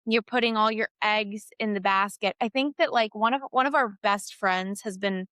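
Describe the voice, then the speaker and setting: Bright, clear-voiced woman musician. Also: medium pitch, moderate pace, no room sound, clean studio sound. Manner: laughs easily, trailing sentences. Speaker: musician guest. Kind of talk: casual conversation.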